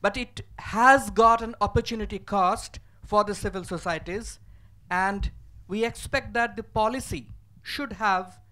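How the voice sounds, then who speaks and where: A man from a civil society group making a formal, deliberate comment from a conference audience. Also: sits high, bright, forceful tone. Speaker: audience member.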